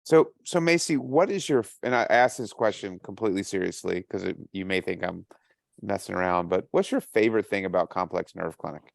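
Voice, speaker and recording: A man's voice: deep voice, brisk, gravelly, no room sound, studio quality. A man with a Southern American accent co-hosting a podcast, teasing his guests in a playful way. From Southern United States. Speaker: podcast co-host. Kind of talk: casual podcast chat.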